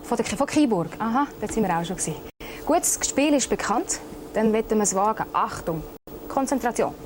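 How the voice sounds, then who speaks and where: A woman hosting a radio quiz show, announcing songs and briefly questioning players in a brisk, announcer-like way. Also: on the high side, animated, noisy background. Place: radio studio with players present.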